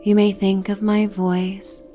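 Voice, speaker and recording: A woman with a soft, breathy, near-whispered voice gently narrating a soothing guided sleep meditation and bedtime story. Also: mid-range voice, level tone, faint music behind. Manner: gentle, soothing, hypnotic, with long pauses.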